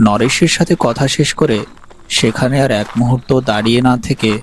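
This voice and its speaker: A man narrating an animated horror story with a measured, storytelling delivery. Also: medium pitch, a little hiss or hum.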